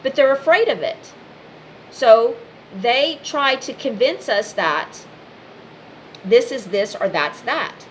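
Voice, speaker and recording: A woman's voice: on the high side, animated, slow pace, pauses often, a little hiss or hum, low-quality recording. A woman giving an impassioned, informal monologue straight to the listener, speaking in emphatic, repetitive short phrases.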